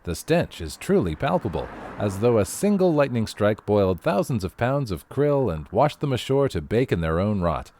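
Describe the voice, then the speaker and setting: A man's voice: fairly low pitch, very animated, moderate pace, hardly any pauses, dark tone. A man playing a field weather reporter in an audio drama, breezily delivering news of horrors like a newscaster. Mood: breezy, professional. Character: chirpy male field weather reporter amid eldritch horror.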